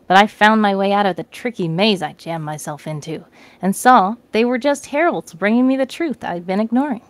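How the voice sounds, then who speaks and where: A woman's voice: mid-range voice, no echo, close to an everyday voice. A woman voicing a boastful, zealous male billionaire in a read-aloud story. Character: boastful male billionaire preaching eco-friendly future.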